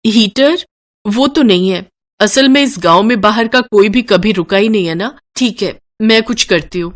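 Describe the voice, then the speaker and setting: A man's voice: high-pitched, moderate pace, a bit bright. A man voicing a poor village mother who runs a roadside food stall, crying that she has failed her daughter. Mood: polite. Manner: sobbing, pleading lament. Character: grieving poor village mother, dhaba cook.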